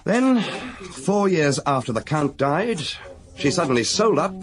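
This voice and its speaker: An earnest, deferential young police inspector in a radio drama, keeping his answers respectful and brief. His voice sits a bit high and swings lively in pitch. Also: a little slow.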